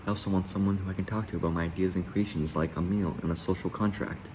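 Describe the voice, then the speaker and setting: A man playing a self-important philosopher, reading his lines aloud in a flat, self-praising way. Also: low voice, quick pace, noisy background, close to an everyday voice. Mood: proud.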